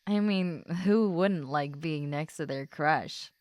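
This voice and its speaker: A woman plays a popular schoolgirl who is tipsy and openly smitten in a roleplay audio drama. Her voice is on the low side and softly murmured, with slow, drawn-out, affectionate phrasing. The recording is dry and close, as if she is talking only to the listener. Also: no echo. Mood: happy, confessional. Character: tipsy, giddy, lovestruck popular schoolgirl, usually stoic.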